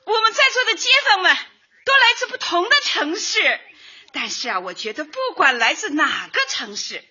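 An actress playing a cheerful, chatty grandmother from Shanghai in her late sixties, effusive and drawn-out, in a comic radio drama. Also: very high-pitched, expressive, sharp tone, dull audio, heavily acted. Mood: earnest, cheerful. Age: 60s. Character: cheerful chatty 68-year-old Shanghai grandmother, retired culture worker.

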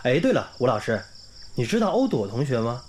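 A man's voice: medium pitch, sing-song, a little fast, darkish tone, a little hiss or hum, lightly acted. An actor playing a kindly, earnest young male English teacher in a radio drama, with an encouraging manner. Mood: thoughtful. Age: young adult. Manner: clear, encouraging classroom delivery. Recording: music under the dialogue.

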